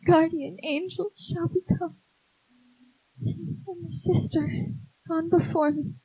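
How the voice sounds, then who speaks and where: Frail, tender younger sister in period radio drama: high, unhurried, earnest delivery over music. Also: female voice, low-quality recording. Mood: solemn, moved. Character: gentle, dying younger sister, fearful yet accepting. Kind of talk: 1940s-style radio drama acting. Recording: live stage recording with music behind.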